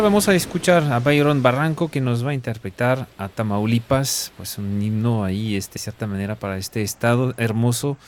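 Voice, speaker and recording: A man hosting a radio program, speaking in a relaxed, conversational way with occasional fillers. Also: mid-range voice, a little hiss or hum. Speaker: radio host.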